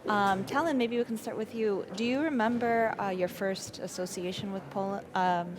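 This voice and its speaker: An effusive woman hosts a panel of pianists. Her pitch sits mid-range. A crowd murmurs behind her. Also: moderate pace. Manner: warm and effusive, repeating praise. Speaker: host. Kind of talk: hosting a panel interview. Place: concert hall venue.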